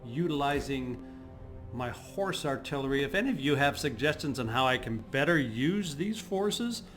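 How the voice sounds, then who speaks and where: A man's voice: mid-range voice, animated, normal speed, a bit bright. A man with a slightly scratchy voice giving unscripted strategy game commentary, thinking aloud as he goes. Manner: thinking aloud, hesitant, throat-clearing. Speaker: host of a gaming channel. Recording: game music behind the voice. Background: video game music.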